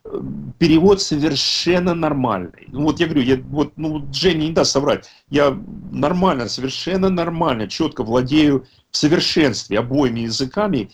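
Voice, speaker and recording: A man's voice: a little high, moderate pace, few pauses, a little hiss or hum. A man in his fifties, raised in a Russian-speaking country, speaking casually and emphatically at an online recovery meeting, often correcting himself mid-sentence. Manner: rambling, self-correcting, emphatic.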